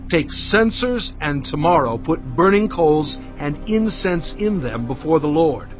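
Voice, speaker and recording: Moses, higher-voiced stern leader. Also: male voice. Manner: stern, emphatic, admonishing. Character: Moses, stern righteous male leader of Israelites. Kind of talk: dramatised scripture acting. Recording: slightly dull audio with music behind. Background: background music.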